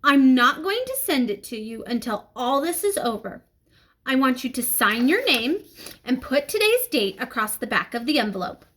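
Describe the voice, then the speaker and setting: A woman's voice: sits high, very animated, fairly bright tone, heavily acted. A woman as a stern, formal female schoolteacher. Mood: measured, firm. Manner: formal, measured, admonishing.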